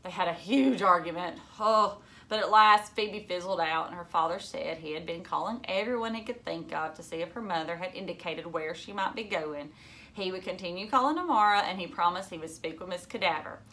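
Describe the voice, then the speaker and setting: A woman's voice: on the low side, a little fast, sharp tone. A woman in her thirties reading a children's novel aloud like a teacher, expressively, with casual asides. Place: furnished living room at home.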